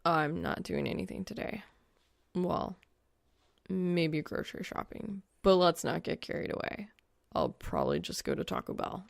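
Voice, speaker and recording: A woman's voice: on the low side, huge pitch swings, moderate pace, vocal fry, no room sound, full character voice. A woman voicing a dry, grumpy young male gamer with a sardonic drawl in an audiobook story. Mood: casual, deadpan.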